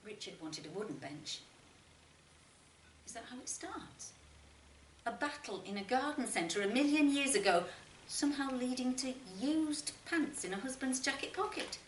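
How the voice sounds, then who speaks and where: Expressive high-voiced woman reading a story. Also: moderate pace. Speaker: short story reader. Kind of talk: expressive literary narration. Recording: roomy, distant mic, faint noise.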